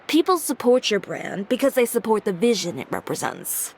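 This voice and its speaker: A woman's voice: a little high, big pitch swings, moderate pace, a little hiss or hum. A woman voicing a composed, businesslike female fashion designer and shop owner in a game, dry and matter-of-fact. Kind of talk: game voice acting. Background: game music.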